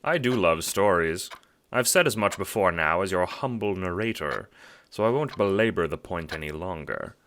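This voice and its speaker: A man voicing a calm, courteous, smugly sinister puppet-like host in an audio drama, speaking in a mannered, formal, condescending way. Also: sits low, background noise. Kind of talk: theatrical audio drama narration.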